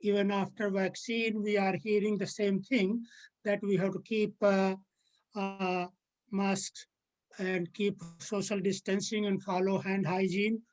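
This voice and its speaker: A seventy-six-year-old woman giving measured personal answers in an interview. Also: mid-range voice, flat delivery, a little mellow, rough recording.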